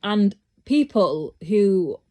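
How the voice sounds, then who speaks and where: A woman's voice: mid-range voice, big pitch swings, drawn-out words, mellow. A British woman talking casually to camera in a rambling opinion monologue, with many restarts, growing emphatic and insistent as she argues. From Britain. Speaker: vlogger.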